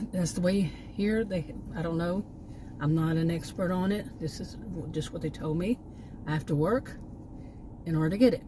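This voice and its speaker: Low-voiced woman rambling outdoors. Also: noisy background. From Texas. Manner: meandering, repeats words, trails off. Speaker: vlogger. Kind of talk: casual rambling chat to camera. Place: outdoors near a park.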